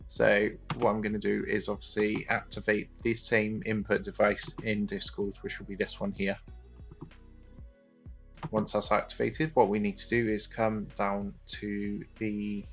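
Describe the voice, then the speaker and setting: A man's voice: fairly low pitch, completely flat delivery, unhurried, gravelly. A man presenting a step-by-step tutorial in an instructional narration style. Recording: music playing behind the voice. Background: music.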